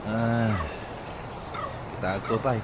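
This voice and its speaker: A man's voice: fairly low pitch, level tone, very slow. A man acting a jealous, accusing young sweetheart in an Ilocano radio comedy drama, with an Ilocano accent. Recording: very noisy outdoor ambience, animal sounds.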